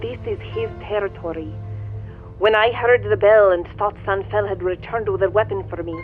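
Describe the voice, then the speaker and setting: A woman's voice: medium pitch, normal speed, soft background music. An actress playing a proud, doomed young alien woman, grave and formal, heard through a radio-like filter. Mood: resigned, sorrowful. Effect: radio-like filter over a viewing screen. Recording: thin, phone-like radio-filtered sound.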